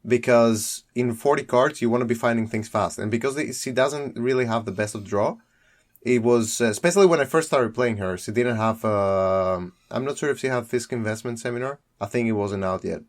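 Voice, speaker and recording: A young man from Greece, speaking at an ordinary mid pitch. He rambles through his explanation, restarting sentences and breaking into laughter as he goes. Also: a little fast. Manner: rambling, self-correcting, laughs often. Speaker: guest deck builder. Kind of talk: casual chat about a card game.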